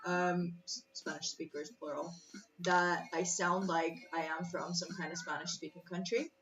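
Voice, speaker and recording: A woman's voice: lowish voice, level tone, normal speed, fairly bright tone. A woman whose first language is Russian, chatting casually and thinking aloud while solving chess puzzles, often interrupting herself and laughing. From former USSR. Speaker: chess streamer. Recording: slightly distant, muffled mic with faint music. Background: faint music.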